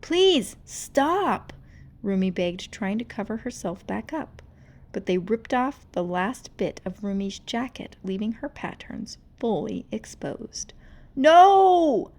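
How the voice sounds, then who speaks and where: Slow, expressive woman storybook reader. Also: mid-range voice. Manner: expressive, suspenseful. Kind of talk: dramatic read-aloud narration.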